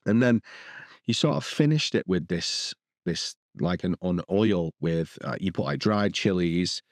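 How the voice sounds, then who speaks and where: A man's voice: low-pitched, animated, unhurried, no echo, studio quality. A man co-hosting a podcast, rambling and restarting sentences as he lists the dishes he cooked. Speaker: podcast co-host. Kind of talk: casual chat.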